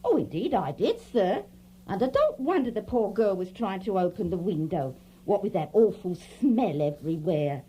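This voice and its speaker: Fussy housemaid with a wildly lilting voice. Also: female voice, mid-range voice, big pitch swings, moderate pace, warm tone, breathy edge, rough recording. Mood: fussing, deferential. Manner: chatty, fretful, deferential. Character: fussy, talkative housemaid, deferential and kindly. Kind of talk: audio drama acting.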